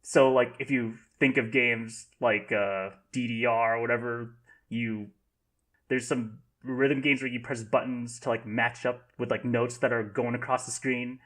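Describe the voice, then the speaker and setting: A man with an average-pitched voice talks casually as a podcast panelist. He explains in a halting, rambling way, like someone thinking it through as he speaks. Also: steady tone, fairly bright tone. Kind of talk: casual discussion of video games.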